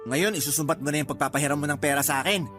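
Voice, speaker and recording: A man's voice: on the high side, very fast, fairly bright tone, faint music behind, lightly acted. A man acting in a Tagalog radio drama as a freeloading older brother and father who argues defensively. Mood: angry, resentful. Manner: wheedling, touchy, argumentative.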